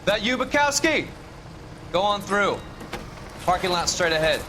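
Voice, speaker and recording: No-nonsense patrolman, animated pitch. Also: unhurried, background noise. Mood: businesslike. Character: no-nonsense uniformed patrolman at a crime scene. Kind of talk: crime video game acting.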